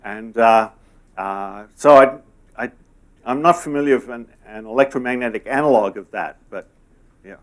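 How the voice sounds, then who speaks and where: A middle-aged man answering audience questions after a lecture in a conference room, halting, with many fillers and restarts. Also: mid-range voice.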